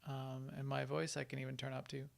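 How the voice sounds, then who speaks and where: A man's voice: mid-range voice, monotone, fairly quick, creaky. A man live-streaming an online game, chatting casually and answering viewers' questions as he plays.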